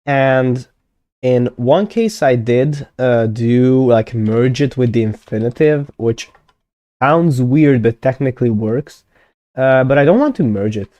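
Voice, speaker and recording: A young man whose first language is Hungarian chats on a live stream in a mellow, warm tone. The recording is dry and close, with no room sound. He thinks aloud, trails off with fillers and corrects himself often. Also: mid-range voice, slow pace, no echo, highs cut off. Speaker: conlang streamer. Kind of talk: casual live-stream chat.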